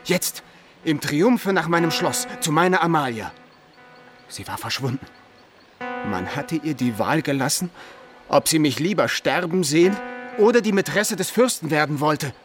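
A man's voice: a little high, lively intonation, normal speed, faint music behind, lightly acted. A man acting a proud, desperate, lovelorn young nobleman in a German radio play, speaking in earnest, impassioned monologue. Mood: anguished. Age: young adult.

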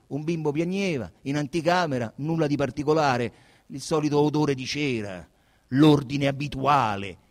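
A man narrating a literary text theatrically, with solemn, deliberate, dramatic phrasing. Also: medium pitch. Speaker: narrator and actor.

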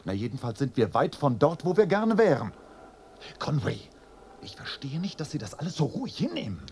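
A man's voice: fairly high pitch, big pitch swings, fairly quick, darkish tone. A man acting in a radio drama as an agitated, impatient, sceptical young Englishman, speaking with exasperated emphasis. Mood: sceptical, irritated. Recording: noisy drama scene.

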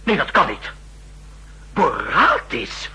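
An actor playing a flustered, indignant assistant in a 1960s radio drama, stammering with agitation. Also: male voice, fairly high pitch, huge pitch swings, slow pace, exaggerated voice. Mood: indignant, shocked. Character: flustered, indignant male assistant caught out by his boss. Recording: noisy old 1960s radio recording with hum.

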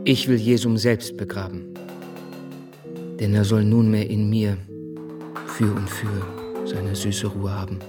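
A low, very slow male believer, recites with hushed reverence. He is tender and solemn, in a radio play with music behind. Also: level tone. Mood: devout, tender. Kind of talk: radio drama poetic recitation.

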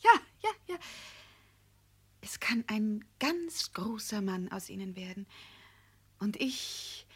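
A woman's voice: mid-range voice, very animated, slightly rough, exaggerated voice. An actress playing a gushing, affected middle-aged provincial noblewoman in a radio drama, effusive and theatrical in manner. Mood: rapturous, gushing. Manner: effusive, theatrical, slow and drawn-out. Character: gushing forty-year-old provincial noblewoman, pompous and affected.

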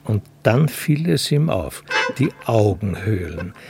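An actor narrating a crime story in a scripted radio drama. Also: male voice, fairly low pitch, big pitch swings, takes it slowly, gravelly, background noise, full character voice. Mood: measured narrating.

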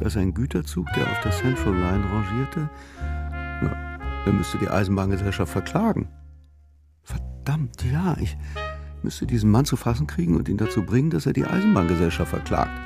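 A man's voice: lowish voice, creaky. An actor playing an ambitious, scheming young lawyer in a radio play, muttering and interrupting himself as he thinks aloud. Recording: studio radio play with music behind.

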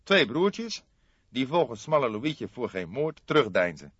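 A man's voice: medium pitch, big pitch swings, measured pace, fairly bright tone, slightly rough, no echo, muffled recording, voice pushed up, exaggerated voice. A man voicing an eager, stern young male police detective with a fierce, clipped manner in an audiobook. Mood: brisk, keen. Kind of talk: audiobook character dialogue.

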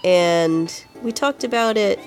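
A woman's voice: mid-range voice, slow pace, soft background music. A woman with a congested, nasal voice co-hosting a podcast in a casual, chatty style. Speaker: podcast co-host. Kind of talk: casual video game music podcast chat.

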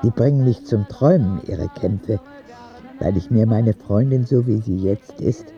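A man's voice: lowish voice, a little slow, muffled recording. A man playing an earnest, candid ten-year-old sick boy who narrates his letters in a radio drama. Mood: confiding, admiring.

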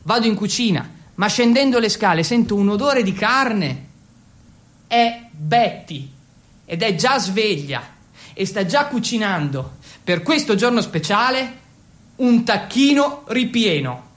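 A man's voice: sits high, animated, fairly bright tone. A man with an Italian accent playing an awkward, feverish teenage boy in a theatrical first-person monologue. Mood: queasy, tense. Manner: halting and fumbling when speaking English.

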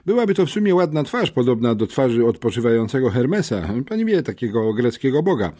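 A man's voice: mid-range voice, big pitch swings, fast talker, mellow, voice pushed up. A man voicing an earnest, talkative schoolboy amateur detective in an audiobook, meticulous and matter-of-fact. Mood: pedantic, matter-of-fact. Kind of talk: audiobook character reading.

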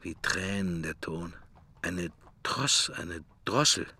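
A man's voice: deep voice, slow pace, sharp tone, slightly rough, exaggerated voice. An actor playing a wistful middle-aged man musing inwardly in clipped fragments, in a literary radio drama. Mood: hushed, wistful.

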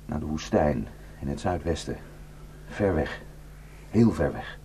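An actor playing a haunted, guilt-ridden male defector in a Dutch radio drama, speaking in a halting, intense and bitter way. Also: very deep voice, animated, mellow, full character voice. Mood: grave, intense. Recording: noisy radio play recording with hum.

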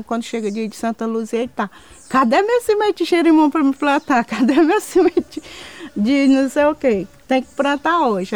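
Colloquial rural Ceará woman, high-ish voice. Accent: rural Ceará, Northeastern Brazilian. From rural Ceará, Brazil. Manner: rambling, colloquial. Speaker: farmer interviewee. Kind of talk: casual anecdotal talk.

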